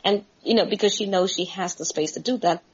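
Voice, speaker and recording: A South African woman in her forties, speaking as a podcast guest, thoughtful and measured, with hesitant fillers. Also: mid-range voice, breathy edge, lo-fi sound. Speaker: guest, friendship expert. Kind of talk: podcast interview.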